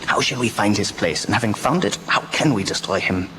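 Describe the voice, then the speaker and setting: Radio drama: commanding older-world professor and vampire hunter, male actor, low register, solemn speeches, stilted phrasing, noisy tape. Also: fairly quick, natural voice. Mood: grave, rallying. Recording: noisy amateur local radio recording.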